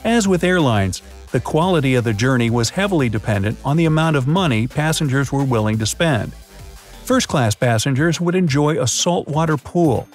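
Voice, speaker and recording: Male voice-over narrator, scripted explainer, playful asides, mid pitch, soft music behind. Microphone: studio voice-over. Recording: faint background music.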